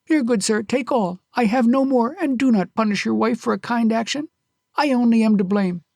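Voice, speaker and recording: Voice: mid-range voice, very animated, normal speed, darkish tone, no room sound, clean studio sound, voice pushed up. A storyteller voicing a polite, earnest young male traveler who pleads courteously. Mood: pleading.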